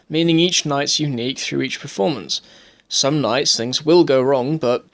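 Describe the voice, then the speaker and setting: A man's voice: fairly high pitch, vocal fry, fairly bright tone. A young adult man, narrating a documentary from a script.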